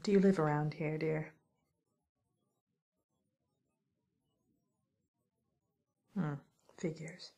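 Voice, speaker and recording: A woman playing a wry, teasing witch who scolds an intruder in an ASMR roleplay, speaking softly and often in a whisper. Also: deep voice, fairly even intonation, normal speed. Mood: wry suspicion. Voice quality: soft, breathy, often whispered. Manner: teasing, musing, mock-stern, theatrical.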